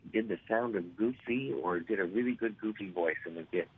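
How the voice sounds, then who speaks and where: A man in his forties with a raspy, hoarse voice, telling stories as an interview guest and rambling with many fillers and restarts. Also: lowish voice, a little fast, no room sound. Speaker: actor guest. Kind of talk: casual interview storytelling. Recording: tinny phone line.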